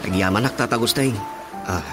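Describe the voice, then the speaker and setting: A man's voice: on the low side, fairly quick, lightly acted. A man with an Ilocano accent playing a polite, earnest young man in a radio drama. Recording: radio drama with music underneath.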